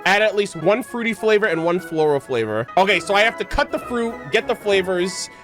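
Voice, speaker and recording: High-voiced excitable male game streamer. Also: brisk. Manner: excitable, swearing, talking to himself and viewers. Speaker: gaming streamer. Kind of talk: casual reaction commentary during play. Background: game music.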